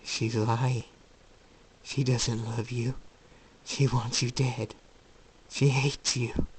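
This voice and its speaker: Insane inner demon for a fan story, male reader acting it: unhurried, sinister, taunting, mid-pitched. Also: little pitch movement, husky, recorded from a distance, full character voice, rough recording. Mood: cold, accusing. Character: insane sinister voice in a tormented young man's head, taunting.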